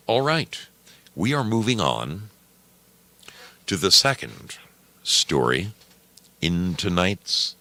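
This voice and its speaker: A man's voice: very deep voice, gravelly, pushed and bright. A man hosting a radio show, chatting casually with listeners in a folksy, theatrical manner. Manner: folksy, theatrical, addresses listeners as friends and dear brothers. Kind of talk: casual live chat with listeners.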